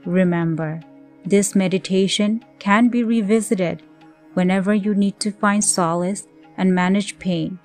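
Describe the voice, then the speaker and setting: A woman leading a scripted guided meditation in a gentle, soothing, measured way with pauses. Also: medium pitch, fairly even intonation. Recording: voice over background music. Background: music.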